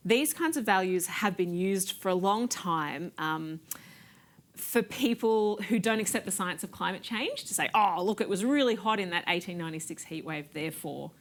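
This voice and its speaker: A middle-aged woman, explaining weather history on a panel in a library, lively and gesturing, on a lapel mic. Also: medium pitch, normal speed. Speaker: climate scientist panelist. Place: library set with bookshelves, indoors.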